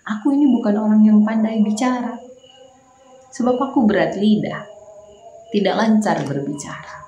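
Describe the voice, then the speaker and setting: A woman pastor with a Makassar accent, praying and preaching a morning devotional, slow and prayerful, rising to emphatic preaching. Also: mid-range voice, warm tone, reverberant, a little hiss or hum, low-quality recording.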